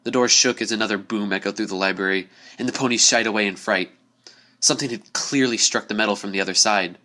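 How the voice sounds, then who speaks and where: A man's voice: lowish voice, fast, pushed and bright. A man giving a dramatic scripted narration of a spooky story. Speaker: story reader.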